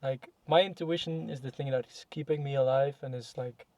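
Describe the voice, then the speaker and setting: A man's voice: medium pitch, steady tone, a little fast, a little mellow, no room sound. Young man musing in dry, close sound. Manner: reflective, many fillers and restarts. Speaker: guest in a podcast chat. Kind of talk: casual conversation.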